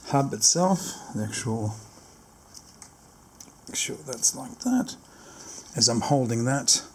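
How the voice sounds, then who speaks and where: A man's voice: mid-range voice, slight background noise. A man giving a casual hands-on product demonstration, explaining step by step and often rephrasing his instructions.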